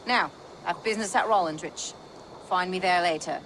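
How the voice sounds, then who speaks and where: Game role, played by a woman: pragmatic mother, lowish voice, measured and guarded, ambience audible behind. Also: fairly bright tone, slight background noise, close to an everyday voice, low-quality recording. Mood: guarded, firm. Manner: measured, formal phrasing. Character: composed adult mother, herb-wise, guarded, pragmatic. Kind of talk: video game character acting.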